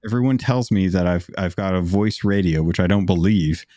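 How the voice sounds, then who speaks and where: A man with a very low, mellow voice talks casually as a podcast guest, loose and rambling, often restarting his sentences. The sound is dry and close, with no room behind it. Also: moderate pace, no room sound. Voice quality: deep voice. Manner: relaxed, rambling with many restarts. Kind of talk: casual conversation.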